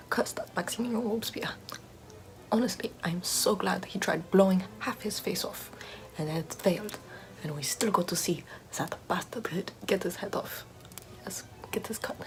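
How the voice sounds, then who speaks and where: A woman's voice: on the low side, natural voice, rough recording. A woman whispering as a vain, pompous aristocratic lady doing makeup in an ASMR roleplay, in a haughty, self-admiring, gossipy way.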